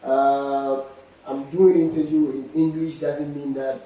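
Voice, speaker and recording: A man's voice: mid-range voice, flat delivery, takes it slowly, warm tone, a little hiss or hum. Distant man in echoey kitchen. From Ghana. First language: Ghanaian language. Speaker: cooking competitor. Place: rental apartment kitchen.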